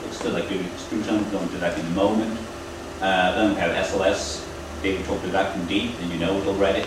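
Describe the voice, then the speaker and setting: Deep-voiced man lecturing in an echoey room. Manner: halting, explanatory, with frequent pauses. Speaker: lecturer at a training event. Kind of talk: technical lecture. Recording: echoey room, distant mic, air-conditioning hum. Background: air conditioning hum.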